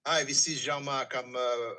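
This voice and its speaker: Bright, forceful man giving practical advice. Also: medium pitch, steady tone, normal speed. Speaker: mortgage banker giving advice. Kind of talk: advisory questioning on a group call.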